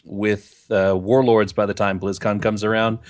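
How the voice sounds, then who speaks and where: Chatty low-voiced man rambling on a podcast. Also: level tone, normal speed. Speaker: podcast co-host. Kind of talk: casual chat. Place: home recording setup on camera. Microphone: desk microphone with pop filter.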